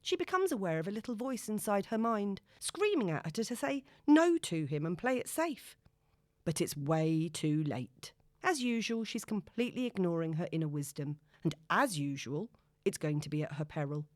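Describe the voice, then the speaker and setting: A woman audiobook narrator reading a novel in an expressive, dramatic storytelling manner. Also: lowish voice, normal speed, no echo, studio quality.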